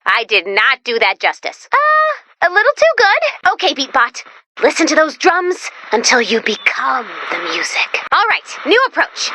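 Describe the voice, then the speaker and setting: A woman voicing an excitable, quirky young female robot guide in a video game, chatty and expressive with dramatic, wry asides. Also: high voice, measured pace, no echo, heavily acted, rough recording. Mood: upbeat, excited. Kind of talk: animated video game voice acting.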